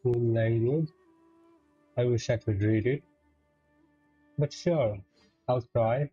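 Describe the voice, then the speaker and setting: A man's voice: medium pitch, slow, dark tone, breathy edge. A man casually commenting live as a game streamer, on a dull, dry mic. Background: game music.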